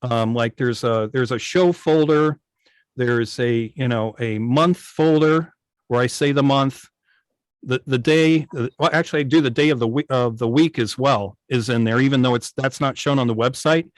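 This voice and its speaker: A man's voice: medium pitch, normal speed, dry recording. A man explaining technical things casually on a video call, hesitant and often repeating his words. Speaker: guest, radio station operator and programmer. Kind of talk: casual technical explanation in an online club meeting. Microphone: video call microphone.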